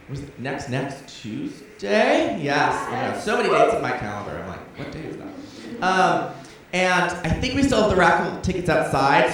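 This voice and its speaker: A man in his twenties giving an informal, chatty and effusive thank-you speech to an audience from a stage. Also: fairly high pitch. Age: 20s. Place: theatre stage, in front of an audience. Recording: echoey theatre from far off, audience noise.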